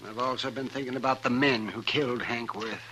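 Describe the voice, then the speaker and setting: A man's voice: medium pitch, fairly even intonation, a little fast, pushed and bright, close to an everyday voice, rough recording. An American man playing a kindly, worried older frontier doctor in a 1950s radio western, with a folksy, thoughtful way of talking. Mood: grave, willing. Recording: old radio recording, faint noise.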